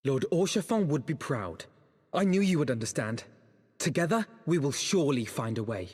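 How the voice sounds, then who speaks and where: A male actor playing an earnest, resolute young nobleman in a video game, speaking in formal, solemn, measured phrases. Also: on the high side, lively intonation, darkish tone, husky, in a large hall. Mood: heartened. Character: earnest, resolute young nobleman vowing to save his friend. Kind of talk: dramatic video game cutscene acting.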